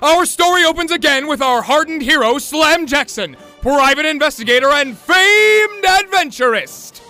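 Man playing a bombastic high-pitched serial narrator. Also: animated, normal speed, pushed and bright, soft background music, exaggerated voice. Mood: grandiose. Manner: pompous, melodramatic, grandiose. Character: bombastic, florid narrator of a comic detective serial. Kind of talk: scripted radio-serial narration.

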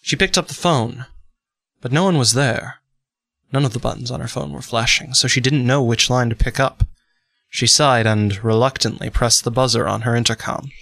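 A man's voice: mid-range voice, gravelly, a bit bright, no echo. A man playing a solemn, suspenseful soap-opera narrator in a scripted radio serial. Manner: measured, melodramatic.

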